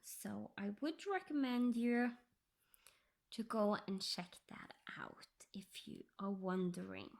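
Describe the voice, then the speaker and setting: A Norwegian woman, English her second language, chatting softly about her cross-stitch project and often dropping into a whisper. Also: medium pitch, expressive, creaky. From Norway. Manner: slow, soft-spoken, drifting into whispers. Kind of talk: casual tutorial chat.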